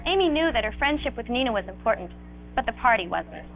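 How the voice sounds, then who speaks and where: A woman's voice: fairly high pitch, big pitch swings, fast talker. A woman narrating an educational film from a script in an instructive, explanatory manner. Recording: tinny, noisy old 16mm film soundtrack.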